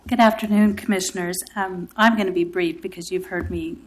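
A woman community advocate giving earnest, grateful testimony to city commissioners, with a sore throat, pausing often. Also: on the low side, fairly even intonation, low-quality recording. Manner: grateful, earnest, speaks with pauses and fillers. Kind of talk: public testimony at a city meeting.

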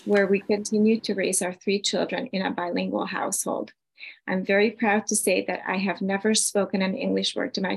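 Woman, 50s, gravelly low edge, steady prepared reading, video call audio. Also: poor audio. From Hungary. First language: Hungarian. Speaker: invited speaker. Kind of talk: prepared personal statement.

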